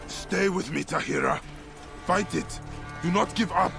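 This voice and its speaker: A man's voice: high voice, unhurried, creaky, music in the background, full character voice. An actor playing an earnest, resolute male warrior leader in ancient Egypt, in a dramatic video game style. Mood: urgent, pleading.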